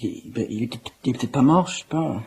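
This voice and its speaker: An actor playing a fragile, self-doubting young son in a radio drama, answering plainly and briefly, with a gentle wish to reassure. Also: male voice, mid-range voice, animated, fast, gravelly, breathy edge, heavily acted. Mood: reassuring. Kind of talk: naturalistic French radio drama dialogue.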